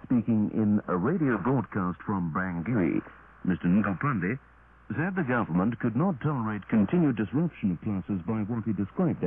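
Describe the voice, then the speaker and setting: Tinny shortwave recording of a male newsreader. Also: lowish voice. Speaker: radio newsreader. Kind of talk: formal scripted news bulletin reading. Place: radio broadcast studio. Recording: tinny, noisy 1980s shortwave radio recording.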